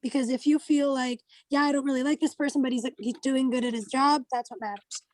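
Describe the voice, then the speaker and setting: Woman running a study group chat, high voice, kinda tentative, dry close sound. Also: steady tone, a little fast, no room sound. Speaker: study group discussion leader. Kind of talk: casual group discussion.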